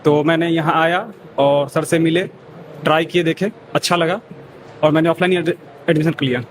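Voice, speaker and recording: A student from Bihar giving a brief, plain self-introduction. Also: male voice, on the high side, steady tone, moderate pace, slight background noise. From Bihar, India.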